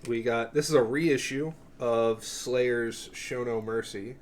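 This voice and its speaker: A man's voice: mid-range voice, slow. Man, mid-range voice, casual collector show-and-tell, offhand, rambling, profane, self-interrupting, slightly noisy recording. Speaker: music collector presenting a cassette collection.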